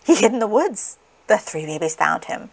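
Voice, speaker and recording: A woman reading a children's story aloud with expressive, dramatic, deliberate phrasing. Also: mid-range voice, creaky, breathy edge. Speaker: storybook reader.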